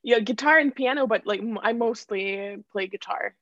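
A woman's voice: medium pitch, moderate pace, a bit bright, dry recording. A young woman from Ukraine, a songwriter, chatting casually in an interview with lots of fillers and restarts. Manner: chatty, many likes and restarts, laughs often. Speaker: songwriter guest. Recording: dull dry lo-fi sound.

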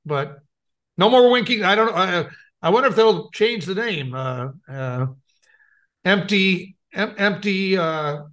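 A man's voice: high voice, animated, slow pace, fairly bright tone. A middle-aged American man co-hosting a podcast in casual chat, with a hesitant, rambling way of talking. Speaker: podcast co-host.

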